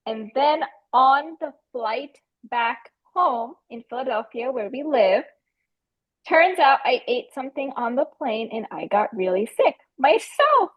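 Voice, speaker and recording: An American woman with a fairly high voice chats as a podcast guest. She tells personal anecdotes in a casual, conversational way. The audio is a little dull and lo-fi. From United States.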